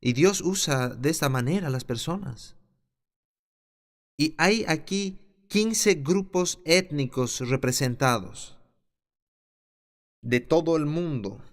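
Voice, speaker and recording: A man with a slightly high voice teaching a Bible class. He reads scripture aloud and then breaks it down in his own words, restarting a phrase here and there. His pace shifts between slow and quick. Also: lively intonation. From the south of his country.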